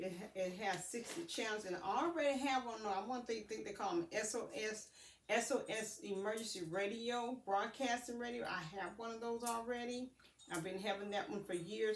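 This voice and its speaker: A woman vlogger talks casually through an unboxing, pausing and feeling her way through sentences. She sounds set back from the microphone in an echoey room, with faint noise underneath. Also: medium pitch. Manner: halting, addresses viewers as family. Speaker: vlogger showing purchases. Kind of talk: casual unboxing chat to camera. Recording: roomy echo, distant mic, faint noise.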